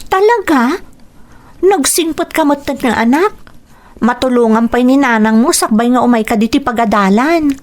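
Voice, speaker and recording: High-voiced sweet Ilocano schoolgirl. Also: expressive, moderate pace. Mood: curious, teasing. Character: kind, encouraging teenage schoolgirl classmate. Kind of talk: Ilocano radio drama acting.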